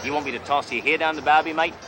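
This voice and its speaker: An actor playing a detective who poses as a brash, boastful Australian car dealer in a put-on Australian accent, speaking in loud, folksy slang. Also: male voice, a little high, steady tone, racing, music in the background, voice pushed up, lightly acted. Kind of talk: sitcom acting.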